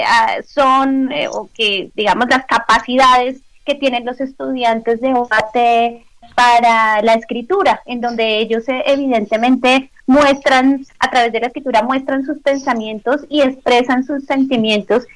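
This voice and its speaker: A woman's voice: high-pitched, fairly bright tone, dry recording, low-quality recording. A woman teacher speaking carefully and hesitantly on a video call. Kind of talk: presenting on a video call panel.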